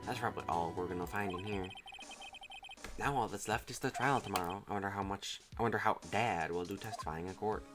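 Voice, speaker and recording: A woman's voice: very deep voice, fairly quick, music in the background, pitched below the performer's own voice. A woman voicing a serious, pensive male defense lawyer as she reads video game dialogue.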